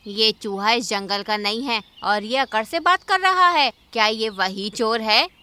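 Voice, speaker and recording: A woman's voice: on the high side, a little slow, bright, forceful tone, clear, resonant tone. A woman voicing a cautious, determined female bird in a cartoon, with an earnest, urgent way of talking. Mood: wary. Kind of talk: cartoon character acting.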